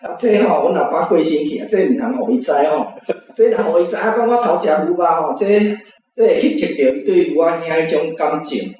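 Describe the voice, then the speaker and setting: A man's voice: fairly high pitch, brisk, darkish tone. A man with a Taiwanese accent reminiscing and commenting informally as a panel guest. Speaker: panel guest, reading club member. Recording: very echoey, distant, faint music. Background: faint guitar music.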